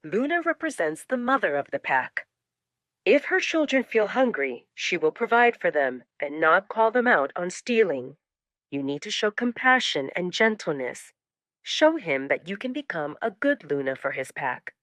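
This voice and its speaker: A woman voicing a father who gently admonishes his daughter in a measured, instructive way, in an audio drama. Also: lowish voice, sing-song, dry recording. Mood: gently admonishing.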